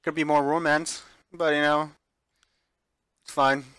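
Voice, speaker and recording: A man's voice: a little high, little pitch movement, unhurried, pushed and bright, clean tone, dry recording. A man streaming a visual novel, reading it aloud with offhand reactions and correcting himself when he stumbles over words. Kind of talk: live playthrough read-aloud with offhand reactions.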